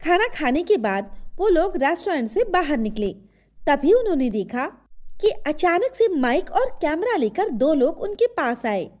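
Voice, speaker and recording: A woman narrates a children's story from a script. Her voice sits high and moves in a lively, animated way from phrase to phrase. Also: a little slow.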